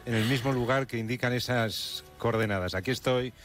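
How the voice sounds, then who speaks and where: A man's voice: mid-range voice, fairly quick, fairly bright tone, faint music behind. A man with an accent from Spain giving a live radio report from location, repeating words for emphasis.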